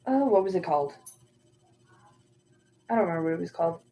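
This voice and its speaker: A woman's voice: on the low side, animated, a little fast, warm tone, dull audio. Young female streamer, chatty and meandering, reads chat aloud. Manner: rambling, trails off searching for words. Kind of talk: casual livestream chat.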